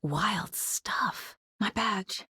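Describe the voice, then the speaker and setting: A woman's voice: medium pitch, very slow, bright, forceful tone, slightly rough, no room sound, whispered, clean studio sound, exaggerated voice. A woman playing a serious, determined FBI agent in a video game, often musing to herself as she investigates. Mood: hushed, tense, then focused, investigative. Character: determined, level-headed female FBI agent investigating supernatural horror. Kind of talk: dramatic video game voice lines.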